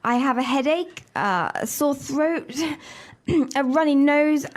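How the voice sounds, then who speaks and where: A woman voices a young employee calling in sick, her voice high and light. She pauses to cough and clear her throat as she lists what is wrong with her. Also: animated. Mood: sickly. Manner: coughs and clears throat while listing symptoms.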